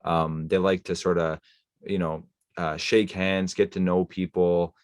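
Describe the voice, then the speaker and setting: A man's voice: deep voice, level tone, a little slow, no room sound, studio quality. A man hosting a podcast, talking thoughtfully with lots of fillers and restarts. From Canada. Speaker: podcast host. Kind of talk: casual interview chat.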